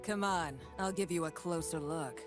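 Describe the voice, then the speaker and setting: A woman's voice: sits low, moderate pace, music in the background, close to an everyday voice. An actress playing a cocky, taunting female warrior in a video game. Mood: cool taunting. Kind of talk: video game character voice lines.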